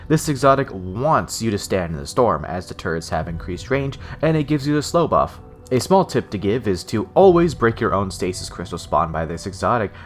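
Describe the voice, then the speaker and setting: A young man with a low, stuffy nasal voice from a cold, talking through a game build guide. His pitch leaps around lively on every new heading, with jokey asides dropped in. Faint music runs under his close-mic voice-over. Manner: lively, jokey delivery with big pitch jumps on section headers. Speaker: gaming channel host.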